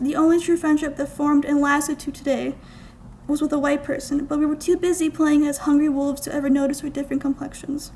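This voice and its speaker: Young adult woman, high-pitched voice, crackly vocal fry, deliberate literary reading, expressive phrasing, live indoor recording. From United States, small-town Minnesota. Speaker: student writer reading own work. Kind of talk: scripted literary reading aloud. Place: college art gallery, indoors.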